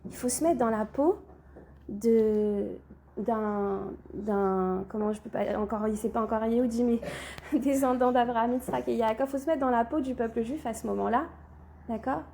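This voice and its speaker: High-voiced woman teaching a class, with a cold. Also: normal speed, dark tone, slight background noise. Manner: questions the class, then answers, often saying "d'accord?". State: has a cold. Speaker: religious teacher. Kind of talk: recorded Torah class.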